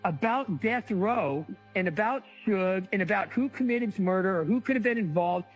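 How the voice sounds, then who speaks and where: A man's voice: sits high, expressive, moderate pace, faint music behind. A man challenging someone in an interview in a blunt, insistent way.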